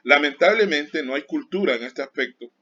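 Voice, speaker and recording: Male accounting teacher, bright and forceful, dull lo-fi recording; lectures with examples and warnings. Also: fairly high pitch, animated, normal speed, slightly rough. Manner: explains with everyday examples and repeated warnings. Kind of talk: classroom lecture. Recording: dull lo-fi sound.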